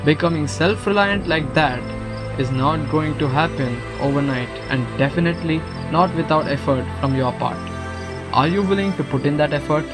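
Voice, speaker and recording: A man playing a kindly guru in an audio drama, speaking in a gentle, measured, instructive way. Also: on the high side, close to an everyday voice. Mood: reassuring, instructive. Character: calm, kindly male guru counselling a troubled student. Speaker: student actor. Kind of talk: scripted audio drama acting. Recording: music under the voice. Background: music.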